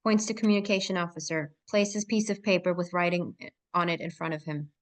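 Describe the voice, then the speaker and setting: A woman's voice: on the low side, fairly even intonation, brisk. A woman narrator reading screenplay stage directions aloud at a live table read.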